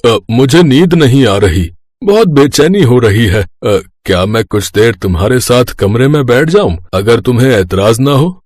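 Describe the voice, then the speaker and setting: Male actor as scheming middle-aged father-in-law, lecherous, wheedling, hesitant fillers, cartoon story drama, mid pitch, dry recording. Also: expressive, normal speed, a little mellow, no room sound, close to an everyday voice. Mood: feigned unease. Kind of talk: Hindi moral-story cartoon acting.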